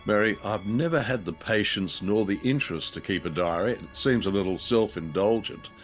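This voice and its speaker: A man's voice: lowish voice, moderate pace, faint music behind. A man co-hosting a show with dry, wry scripted banter. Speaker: podcast co-host.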